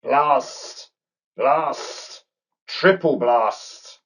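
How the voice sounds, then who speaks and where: A man voicing a fussy, whiny male snake in a children's story, hissing and pleading in a high voice. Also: drawn-out words, a bit bright, breathy edge, heavily acted. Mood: annoyed.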